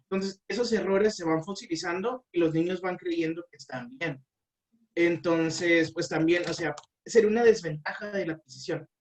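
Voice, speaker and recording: A man's voice: high voice, distant mic. A man explaining language learning as an interview guest, with many fillers and restarts.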